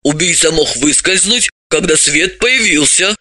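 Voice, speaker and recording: A man's voice: fairly high pitch, expressive, measured pace, sharp tone, dry recording, full character voice. A man voicing a good-natured woman company boss who is curious and easily surprised, in audiobook dialogue. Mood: speculating. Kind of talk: audiobook character voice.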